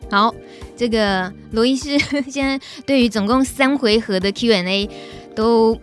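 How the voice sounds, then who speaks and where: A woman's voice: on the high side, measured pace. A woman with a Taiwanese Mandarin accent, hosting a casual question-and-answer chat. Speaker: host. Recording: music behind the voice.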